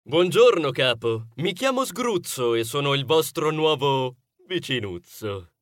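A man's voice: on the high side, big pitch swings, unhurried, fairly bright tone, dry recording. A man voicing a smug, brash male rodent neighbour in a storybook, boastful and condescending, with cutesy turns of phrase.

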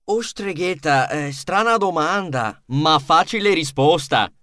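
Man voicing a hearty, high-pitched jovial gondolier. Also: lively intonation, takes it slowly, sharp tone, no room sound, clean studio sound, full character voice. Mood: jovial, teasing. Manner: hearty, proclaiming, teasing. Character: jovial male Venetian gondolier announcing a contest. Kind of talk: children's story character voice.

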